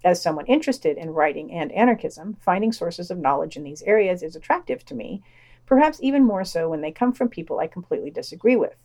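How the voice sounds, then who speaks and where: Female podcast host, soft dark timbre, scripted read with wry, opinionated, casual asides. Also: fairly low pitch, expressive, quick pace. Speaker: podcast host reading news reviews.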